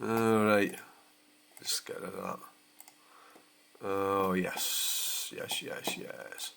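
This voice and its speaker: A man's voice: low-pitched, gravelly, sharp tone. A Scottish man hosting his own channel, talking in a blunt, sweary and rambling way in a casual rant. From Scotland. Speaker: channel host.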